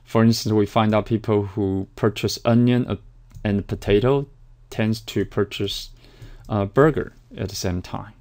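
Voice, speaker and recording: Hesitant lecturing man, smooth low voice. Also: measured pace, a little mellow. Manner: hesitant, many fillers and restarts. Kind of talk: recorded slide lecture.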